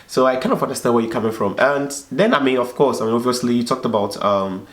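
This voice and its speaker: A man's voice: mid-range voice, expressive, fast. A man with a slightly dried-up, tired voice, hosting a vlog in casual, informal and repetitive chat. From foreigner living in Belgium. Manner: repetitive, filler-heavy, informal. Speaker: vlog host. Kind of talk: casual chat to viewers and guest. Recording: echoey room sound.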